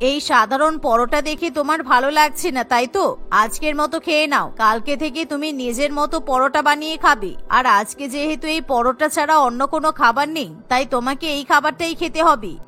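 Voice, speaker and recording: A woman's voice: sits high, a little fast, pushed and bright, soft background music. A woman voicing a weary, scolding middle-aged mother-in-law in a cartoon, reproachful and explaining at length. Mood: firm. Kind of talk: cartoon voice acting.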